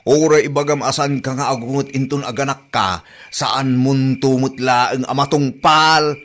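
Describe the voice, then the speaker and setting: A man's voice: mid-range voice, steady tone, a little slow, a bit bright, natural voice. A man playing an exasperated, stubborn husband and new father with an Ilocano Filipino accent in a radio comedy drama. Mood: reproachful.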